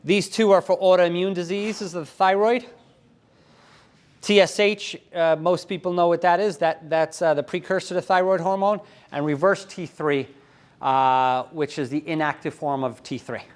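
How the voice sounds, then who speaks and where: Man presenter, 40s, higher voice, runs through lab tests briskly at a seminar. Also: fairly even intonation. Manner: brisk, matter-of-fact listing. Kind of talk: conference presentation. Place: hotel conference room.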